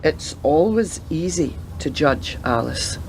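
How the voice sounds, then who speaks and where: A woman playing a wary old grandmother and retired detective in a radio play, speaking in a measured, admonishing way. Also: deep voice, lively intonation, darkish tone, heavily acted, rough recording. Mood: guarded, cautioning. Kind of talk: scripted radio play acting. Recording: community radio drama with clear background noise.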